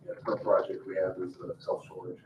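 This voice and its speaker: A man's voice: low voice, wildly swooping pitch, quick pace, lo-fi sound. A man giving an informal development report at a public meeting, in an echoey room away from the mic. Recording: echoey room, distant mic, faint noise.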